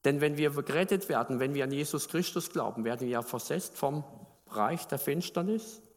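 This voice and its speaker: A man's voice: a little high, moderate pace. Man preaching in a reverberant hall. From Baden, Germany. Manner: conversational, asks the congregation questions. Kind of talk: sermon.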